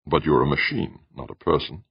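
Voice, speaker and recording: A man's voice: very deep voice, lively intonation, brisk, breathy edge, dry recording, muffled recording, exaggerated voice. A man voicing a curious, awed man from Earth in an audiobook, politely questioning. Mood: wary, curious.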